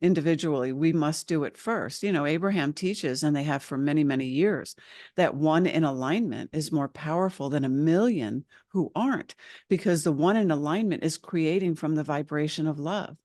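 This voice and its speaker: A woman's voice: deep voice, moderate pace, dark tone, no echo, clean studio sound. A woman channeling spiritual teachings as a guest, speaking earnestly and insistently as a collective "we" over a video call.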